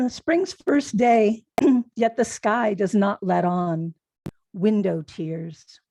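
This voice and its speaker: A woman poet reading haiku aloud in a measured delivery with pauses between the phrases. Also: medium pitch, vocal fry, a little mellow, no room sound. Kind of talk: poetry reading.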